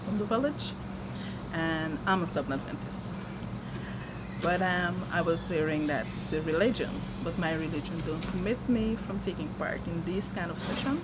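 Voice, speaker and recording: A woman's voice: fairly low pitch, normal speed. A woman with a Guyanese accent, a village leader answering interview questions in a plainspoken, hesitant way. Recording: loud background noise.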